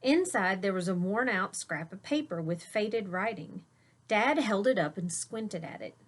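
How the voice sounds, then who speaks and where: A woman reads a children's picture book aloud in a teacherly storytelling style. Her voice sits at a medium pitch, with clear emphasis on key words and hushed dramatic moments. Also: animated, a little slow.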